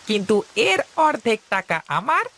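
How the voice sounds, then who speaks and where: A man voicing an excitable, henpecked village husband in a moral-story cartoon, with exclamatory, comic delivery. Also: high voice, a little slow, vocal fry, slight background noise, exaggerated voice. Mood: gleeful.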